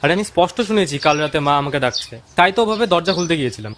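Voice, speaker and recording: A man's voice: a little high, expressive, fast talker, a bit bright, a little hiss or hum. A man playing a curious, skeptical young visitor in a cartoon ghost story, questioning and doubtful in manner. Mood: insistent.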